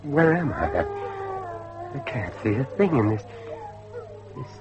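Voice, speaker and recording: A middle-aged man acting a determined father and judge in old-fashioned radio drama style, with a dramatic, deliberate delivery. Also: medium pitch, big pitch swings, highs cut off, over loud music. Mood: panicked, terrified. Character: grave, determined father, a judge seeking his missing son.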